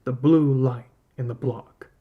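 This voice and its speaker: A man narrating literary fiction as a podcast host, in a measured, dramatic reading manner. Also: medium pitch, fairly even intonation, phone-quality sound, slight background noise.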